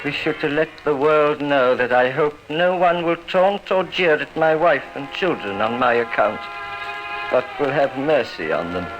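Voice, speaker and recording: An actor playing a hardened condemned murderer confessing in formal, declamatory 1950s radio drama style. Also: male voice, on the high side, unhurried, muffled recording, natural voice. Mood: solemn pleading. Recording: old radio broadcast, music behind.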